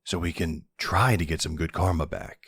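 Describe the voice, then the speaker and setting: A man as a low, husky mafia boss. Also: moderate pace, fairly bright tone, no room sound, clean studio sound, exaggerated voice. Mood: exasperated.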